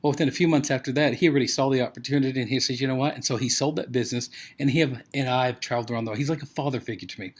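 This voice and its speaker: American man, very quick talker, presenter, rambling storyteller, casual motivational sales pitch. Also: fairly even intonation. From United States. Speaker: presenter of a company overview.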